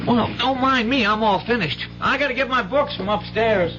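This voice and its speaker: A man's voice: extremely high pitch, normal speed, over music. An actor playing a cautious, kindly teenage country boy with hesitant, folksy speech in a radio drama. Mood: easygoing. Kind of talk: 1970s radio drama acting. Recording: dull, hissy old radio broadcast.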